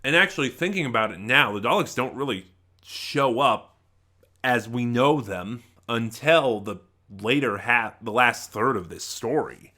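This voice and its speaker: Man, mid-pitched, sharp bright tone, casual unscripted reviewer, halting, meandering delivery. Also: animated. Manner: halting, rambling, trailing off mid-thought. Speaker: YouTube reviewer. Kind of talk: unscripted audio drama review, casual talk to viewers.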